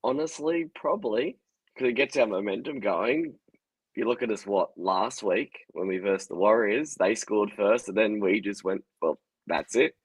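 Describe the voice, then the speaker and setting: A man with an Australian accent giving a casual post-match reaction, his voice hoarse and husky from yelling. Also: fairly low pitch, expressive, normal speed, no room sound, low-quality recording. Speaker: podcast guest.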